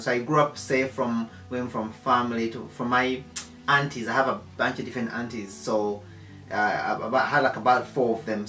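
A man in his thirties from Uganda with a Ugandan accent, chatting casually on a couch at home and pausing often with fillers and restarts. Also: medium pitch, fairly bright tone, reverberant, rough recording. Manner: halting, many fillers and restarts. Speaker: co-host, husband. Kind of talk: casual chat. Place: living room, seated on a couch.

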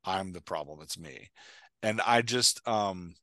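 An American man with a lowish, crisp-edged bright voice talks casually as a podcast host. He tells stories in an animated way, quoting his own thoughts, in a dry-sounding space. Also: measured pace, no echo. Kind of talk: casual Q&A chat. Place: indoor room at home, on a video call. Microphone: headset microphone.

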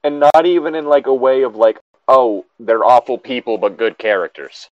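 A man's voice: mid-range voice, normal speed, no room sound, dull audio. A man co-hosting a casual read-aloud of a story, with blunt, exasperated, profane commentary. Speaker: co-host reading a story aloud with running commentary.

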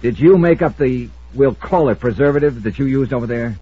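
An actor playing a shrewd, sardonic insurance investigator, wry and pressing, in an old radio detective drama. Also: male voice, mid-range voice, expressive, fairly quick, husky. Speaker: star and narrator of the show. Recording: muffled old radio recording.

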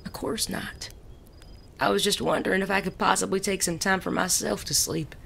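An actor playing a suave, teasing male gentleman bandit in a Western audio drama, genteel and wry. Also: medium pitch, fairly even intonation, normal speed, gravelly, a little hiss or hum. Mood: dry, teasing. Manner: genteel, wry, polite wording.

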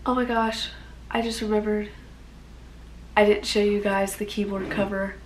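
A young woman in college vlogging casually from a small dorm room, raspy and congested as her voice fades, gushing with lots of fillers. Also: medium pitch, flat delivery, normal speed, a little hiss or hum, rough recording. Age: young adult. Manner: bubbly, gushing, filler-heavy. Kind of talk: casual unboxing vlog.

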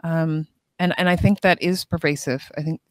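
A woman speaking as a clinic guest on a panel podcast, thoughtful and deliberate. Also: low voice, steady tone, no echo.

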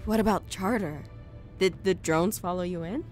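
A woman's voice: lowish voice, normal speed, darkish tone, soft background music, lightly acted. A woman playing a curious, amazed listener to a space tale in a science fiction audio drama.

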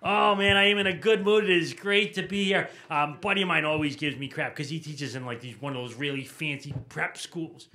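High, bright-voiced comedian on stage. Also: male voice, a little fast, few pauses. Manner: energetic, punchy delivery to a small studio audience. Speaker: stand-up comedian. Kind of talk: stand-up routine. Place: small TV studio stage with live audience. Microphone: handheld mic on a stand.

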